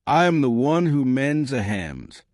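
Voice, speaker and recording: A man voicing a plain, frank young gentlewoman in an audiobook, unhurried, matter-of-fact and dryly witty. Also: medium pitch, big pitch swings, no room sound, studio quality, voice pushed up. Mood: dry, composed. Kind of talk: audiobook character dialogue.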